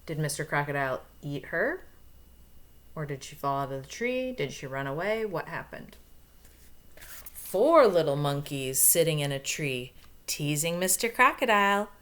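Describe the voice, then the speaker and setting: A woman's voice: sits low, animated, measured pace, a bit bright. A woman reading a picture book aloud to young children, expressively, with explanations and questions along the way. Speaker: storybook reader.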